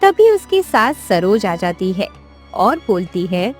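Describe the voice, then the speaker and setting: A woman's voice: medium pitch, sing-song, takes it slowly, darkish tone, faint music behind. A woman narrating a scripted moral tale for a cartoon, as a storyteller.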